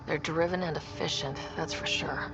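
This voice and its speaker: An actress playing a plucky, wry young space explorer in a video game, casual yet determined in manner. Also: female voice, sits low, steady tone, normal speed, music in the background. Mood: serious, determined. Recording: dull sound with clear background noise.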